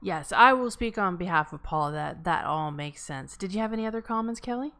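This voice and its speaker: A woman's voice: fairly low pitch, lively intonation, fairly quick, fairly bright tone. A woman casually moderating a discussion as host, in an encouraging way. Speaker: table read host.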